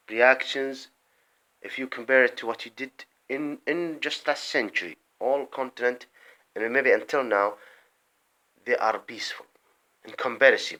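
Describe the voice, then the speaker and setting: A man delivering an impassioned, rambling political monologue, breaking off mid-sentence and swinging between loud outbursts and muttered asides. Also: medium pitch, low-quality recording. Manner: rambling, emphatic, often breaks off and restarts. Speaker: vlogger.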